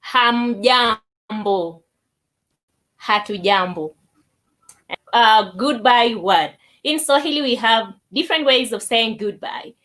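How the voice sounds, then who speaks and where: A woman giving a language lesson, deliberately repeating words for learners. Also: fairly high pitch, a bit bright. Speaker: language teacher. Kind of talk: language lesson, demonstrating greetings.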